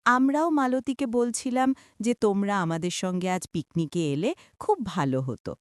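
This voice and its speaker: A woman's voice: medium pitch, expressive, moderate pace, no echo, clean studio sound, lightly acted. A woman voicing a welcoming, curious village aunt in a cartoon.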